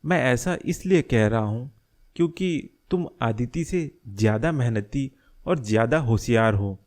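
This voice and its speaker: A man playing a worried middle-aged father in an animated moral story, speaking in a deliberate, earnest, explanatory way. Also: medium pitch, lightly acted. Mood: earnest, persuading. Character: worried middle-aged father scheming to protect his family.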